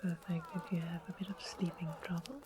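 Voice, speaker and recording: A woman playing a sweet-mannered witch in a medieval fantasy ASMR roleplay, whispering softly and explaining things in an unhurried, gently wry way. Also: lowish voice, crowd noise, close to an everyday voice. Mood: calm, explanatory. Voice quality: soft breathy whisper.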